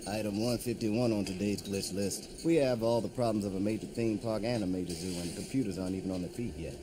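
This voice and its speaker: Dry, low-voiced control-room engineer played by a man. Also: quick pace, background noise, natural voice. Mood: dry complaint. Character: weary, businesslike male control-room engineer.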